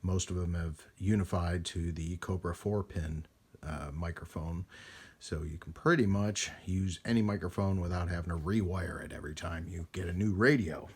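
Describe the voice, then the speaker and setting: A man's voice: low voice, normal speed, breathy edge. A man hosting a casual hands-on demonstration of vintage radio gear, talking in a rambling, folksy way with hesitations as he works. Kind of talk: casual hands-on vlog narration.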